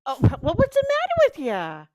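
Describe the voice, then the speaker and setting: A woman's voice: very high voice, huge pitch swings, normal speed, a little mellow, no echo, clean studio sound, exaggerated voice. A woman playing a nagging, encouraging mother of a grown son in a vintage-style radio play.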